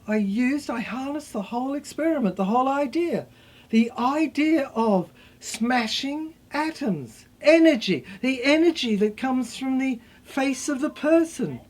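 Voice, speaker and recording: A high-pitched, unhinged mad scientist played by a woman rambles obsessively in a theatrical monologue. The delivery is slow and fragmented, circling back and repeating itself like someone confined in an asylum. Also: animated, darkish tone. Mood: haunted, grandiose. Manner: stammering, repetitive, fragmented delivery.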